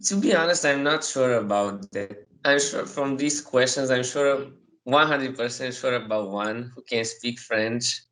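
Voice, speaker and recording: A man's voice: medium pitch, a little slow, fairly bright tone, poor audio. A young man, a student answering his teacher hesitantly over a video call. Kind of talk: answering in class.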